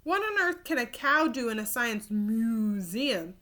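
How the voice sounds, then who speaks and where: Woman voicing a bright, headstrong storybook cow. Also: a little high, big pitch swings, measured pace, exaggerated voice. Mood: wailing, indignant. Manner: emphatic, plaintive, stubborn. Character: headstrong female cow, wailing and grumbling about the moon.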